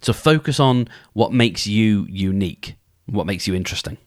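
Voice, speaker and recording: A male podcaster talking through advice in a loose, conversational monologue. His pitch moves freely up and down, and he often restarts phrases as he thinks aloud. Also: animated, moderate pace. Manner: conversational, thinks aloud, acts out inner dialogues; frequent restarts and filler phrases. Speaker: podcast host.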